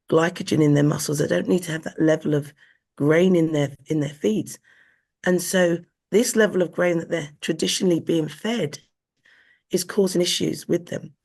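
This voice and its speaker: A hoarse woman, an equine nutritionist being interviewed, explaining earnestly and often restarting her sentences. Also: deep voice, darkish tone. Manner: earnest, with frequent restarts and fillers.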